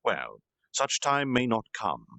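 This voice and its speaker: A man reading a story aloud on a stream in an earnest, theatrical amateur style with deliberate pauses. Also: fairly low pitch, very animated, gravelly, sharp tone, dry recording. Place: indoor home reading chair, livestream.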